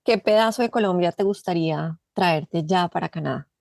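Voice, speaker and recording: A woman hosting an interview podcast, speaking in a gracious, measured way. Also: lowish voice, gravelly, a little mellow, no echo, clean studio sound. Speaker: podcast host.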